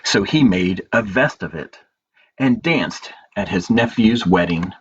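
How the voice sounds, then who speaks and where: A man's voice: on the low side, expressive. Man reading storytime, dull noisy recording. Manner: measured reading with pauses, informal asides. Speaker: librarian and storytime host. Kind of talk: reading picture books aloud, storytime. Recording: dull lo-fi audio with clear background noise.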